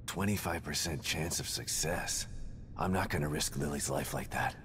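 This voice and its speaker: A man's voice: rumbling low, normal speed, fairly bright tone, slight background noise. An actor playing a weary, grim, guarded American detective father in a video game, brooding and skeptical. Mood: wary, brooding. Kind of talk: video game cutscene acting.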